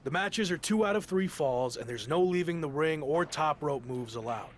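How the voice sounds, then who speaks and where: An actor playing a weary, determined pro wrestler in a video game cutscene, speaking in a dry, matter-of-fact way. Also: male voice, a little high, animated, moderate pace, a little hiss or hum, lightly acted.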